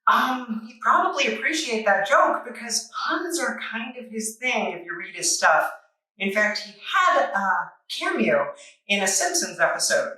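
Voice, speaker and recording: Female reviewer, bright and wry, recorded in a very echoey room a bit away from the mic. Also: on the high side, sing-song, a little slow. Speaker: comic book reviewer, video host. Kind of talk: semi-scripted review narration. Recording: echoey room, distant microphone.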